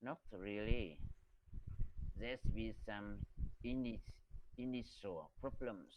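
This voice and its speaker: Slow, hesitant Vietnamese-accented man. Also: on the low side, noisy background. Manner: hesitant, restarts words, careful pronunciation. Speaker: student. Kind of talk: reading aloud and halting answers in an English lesson.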